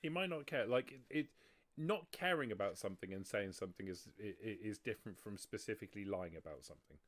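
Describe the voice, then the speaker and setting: A man's voice: lowish voice, expressive, fast, fairly bright tone. A man explaining things casually during a tabletop roleplaying game. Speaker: participant in a tabletop roleplaying stream.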